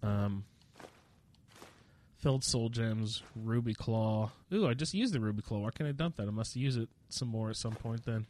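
A man's voice: lowish voice, normal speed, darkish tone, a little hiss or hum. Sniffling man casually narrating his gameplay. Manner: rambling, self-deprecating, jokey. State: sniffling with a slight cold. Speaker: gamer narrating own playthrough.

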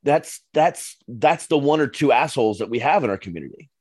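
A man's voice: mid-range voice, animated, a little fast, darkish tone, breathy edge, no room sound, studio quality. A man in his forties hosting a podcast, telling stories in an earnest, rambling, casual way. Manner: earnest, storytelling, repeats himself. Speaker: podcast host.

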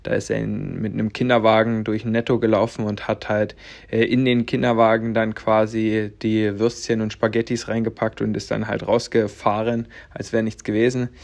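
Earnest young man, low voice, rambling. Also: little pitch movement, normal speed, gravelly, a little mellow. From Germany, raised in Latvia. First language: German and Russian, bilingual. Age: 20s. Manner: earnest, rambling with many fillers. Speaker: podcast host. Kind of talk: personal monologue podcast.

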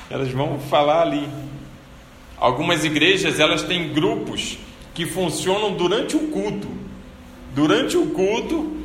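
Preacher, male, bright forceful voice, chatty storytelling sermon, long church reverb. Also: fairly high pitch, a little hiss or hum. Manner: conversational storytelling, frequent rhetorical "né?". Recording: echoey church hall with faint ambience.

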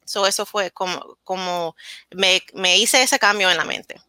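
A woman's voice: mid-range voice, a little slow, pushed and bright, no echo. A woman in her thirties from New Jersey, telling her story candidly in a casual interview and slipping into words, her language. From New Jersey, United States. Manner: switches into English words mid-sentence. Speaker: interview guest.

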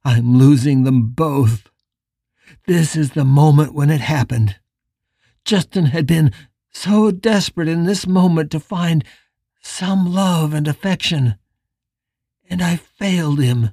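A reader voicing Richard, a remorseful middle-aged man pleading with the young man he once rejected, in a lowered, emotional voice. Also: takes it slowly, long pauses, mellow, dry recording, studio quality. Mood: despairing.

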